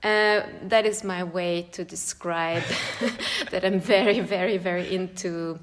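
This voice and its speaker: A woman's voice: lowish voice, fairly even intonation, unhurried. Swedish-accented woman, thoughtful and laughing. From Sweden. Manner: thoughtful, searching for words, laughs often. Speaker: guest choreographer and dance teacher. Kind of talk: casual podcast conversation. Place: large room.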